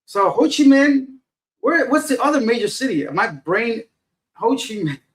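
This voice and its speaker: A man's voice: very high-pitched, very animated, a little slow, a bit bright. A middle-aged man hosting a casual livestream, reading viewers' comments aloud and answering them in a rambling, self-deprecating way. He sounds tired and unfocused. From Afghanistan. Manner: rambling, loses his train of thought, self-deprecating. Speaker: livestream host reading chat. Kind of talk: casual live chat.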